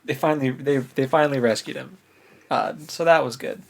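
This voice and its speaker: A man's voice: mid-range voice, fairly even intonation, fairly quick, creaky. A man co-hosting a book podcast, recapping in a casual, conversational way.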